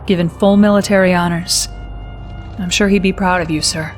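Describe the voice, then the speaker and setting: An earnest, patriotic female intelligence officer played by an actress in a military game. Her voice sits fairly low for a woman, and she briefs her commander formally, with music behind her. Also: close to an everyday voice. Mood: proud, composed. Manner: formal, addresses listener as sir. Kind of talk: video game cutscene briefing.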